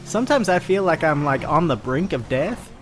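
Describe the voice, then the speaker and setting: A man's voice: on the high side, very animated, normal speed, slight background noise. A man voicing a rambling, awkward character named Frank in an improvised roleplay, full of fillers and restarts. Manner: rambling, hesitant, lots of fillers. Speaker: roleplay streamer.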